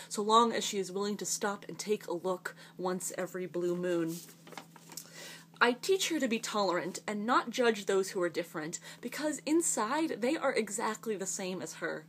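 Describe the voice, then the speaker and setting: Woman doing a slam poem, earnest and punchy w/ rhythm and pauses, mid pitch, bit of background noise. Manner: earnest, emphatic, rhythmic delivery with deliberate pauses. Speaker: slam poet. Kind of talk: slam poetry recitation.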